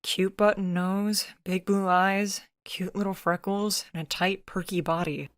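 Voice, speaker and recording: A woman's voice: mid-range voice, steady tone, unhurried, no echo, lightly acted. A woman plays a young transfeminine friend in a visual novel story, doubting and anxious. The delivery is earnest with a sarcastic, whiny edge, recorded very dry. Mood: sincere.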